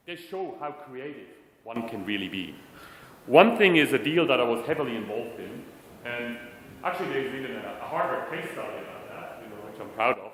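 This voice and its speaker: A man's voice: mid-range voice, a bit bright. A middle-aged man giving a conversational, self-deprecating conference talk on stage. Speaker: conference speaker. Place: large hall. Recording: echoey hall, faint room noise.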